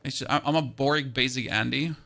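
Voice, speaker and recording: A man's voice: fairly quick, creaky, sharp tone, a little hiss or hum. A man streaming a game and chatting casually with viewers, rambling and repeating words as he restarts his sentences. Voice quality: high-pitched voice. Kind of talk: casual gameplay chat with viewers.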